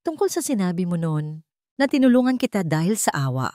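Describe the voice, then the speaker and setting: A woman voicing a devoted, understanding sweetheart in a romantic story, speaking tenderly and deliberately. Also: lowish voice, sing-song, warm tone, dry recording, clean studio sound. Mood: tender, sincere. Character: devoted, understanding sweetheart confessing deep love.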